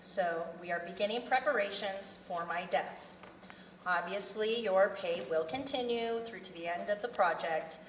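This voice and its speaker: A woman's voice: medium pitch, normal speed, natural voice. A woman playing an older, bossy and chatty serial killer mastermind at a live table read, with a businesslike managerial manner. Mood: businesslike, wry. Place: festival venue room. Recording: roomy, distant mic, faint noise.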